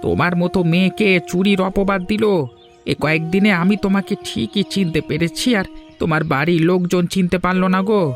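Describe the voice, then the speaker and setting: A man acts out a kind street-food stall owner in a cartoon tale. The voice is high for a man, gentle and consoling. Light music is heard underneath. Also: steady tone, a little fast. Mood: sympathetic. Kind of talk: dubbed cartoon dialogue.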